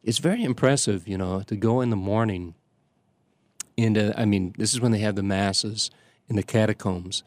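An older priest reminisces in a rambling, unhurried way, stopping and circling back with plenty of ums and uhs. His voice sits low, and the sound is dry, with no room echo. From Chicago area. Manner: rambling, halting, many restarts and fillers. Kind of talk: conversational storytelling.

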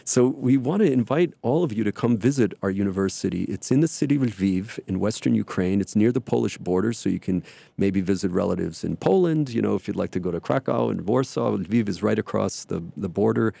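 A middle-aged man speaking for his church to listeners, rambling and filling pauses with many ums and uhs. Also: on the low side, lively intonation, creaky, dark tone, no room sound. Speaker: church representative. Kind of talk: speaking to listeners, promotional talk.

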